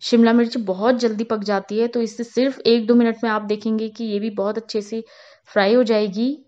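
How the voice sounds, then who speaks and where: Cooking channel host, woman, higher voice, calm step-by-step voice-over, slightly muffled lo-fi sound. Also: brisk. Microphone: voice-over, not on camera.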